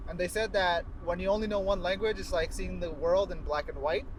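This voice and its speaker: A man's voice: sits high, fairly even intonation, a little fast. A man from the United States chatting casually as a passenger, chiming in with questions and thoughts of his own. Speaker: co-host and conversation partner. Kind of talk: casual chat. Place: inside a moving car. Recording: noisy car interior with road noise.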